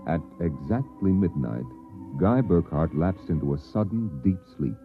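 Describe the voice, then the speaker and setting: A male actor narrating an uncanny story in a measured, dramatic old-time radio style. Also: low-pitched, expressive. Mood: grave. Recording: tinny old radio recording with music.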